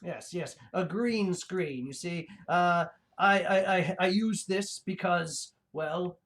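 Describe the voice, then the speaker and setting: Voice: medium pitch, slow, a bit bright. A performer voicing a gloating reptilian mad scientist in human disguise, hosting a comic livestream in a pompous, rambling, villainous manner. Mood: rambling, boastful. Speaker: host of a livestream show. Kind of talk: comic in-character villain monologue.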